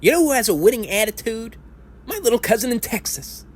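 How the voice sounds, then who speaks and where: A man's voice: extremely high pitch, very animated, a little fast, voice pushed up, full character voice. A man in his thirties playing a self-pitying lifelong sports fan at a support group, rambling and self-deprecating, and sometimes rising into indignant rants in an audio play. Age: 30s. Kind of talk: amateur audio play acting.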